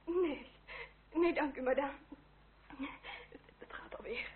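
A woman's voice: high voice, slow pace, slight background noise. An actress playing an earnest, tender young French noblewoman, polite and easily distressed, in a period radio drama. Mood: subdued sorrow. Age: young adult. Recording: tinny old radio play recording.

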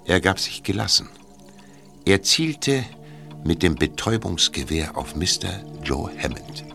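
Wry mystery narrator, deep voice, lively intonation, scripted radio drama storytelling, light music underneath. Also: male voice, unhurried, gravelly, exaggerated voice. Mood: measured narration.

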